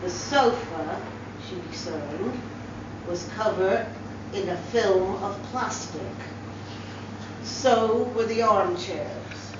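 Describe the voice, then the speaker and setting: Female story reader, dramatic literary narration, unhurried, higher-pitched, heard in a very reverberant room. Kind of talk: dramatic short story reading. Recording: very echoey room, distant mic, slightly dull, faint noise.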